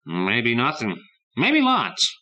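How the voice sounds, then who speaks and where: A man voicing a puzzled middle-aged postman who speaks slowly and hesitantly. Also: mid-range voice, huge pitch swings, pushed and bright, voice pushed up, heavily acted. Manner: halting, puzzled.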